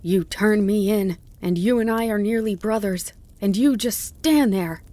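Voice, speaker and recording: A woman's voice: medium pitch, a little slow, warm tone, dry recording, pitched above the performer's own voice, natural voice. A woman voicing a wounded, exhausted old male fox in a storybook, speaking with desperate, bitter emotion. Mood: bitter contempt. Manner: imploring, lamenting, turning to bitter snarls.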